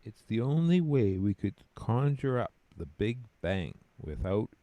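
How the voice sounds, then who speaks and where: A man's voice: fairly low pitch, big pitch swings, measured pace, no room sound, muffled recording. A man playing a grandiose, reverent spirit companion to a god in a scripted audio drama, with a theatrical, declamatory delivery. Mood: explaining.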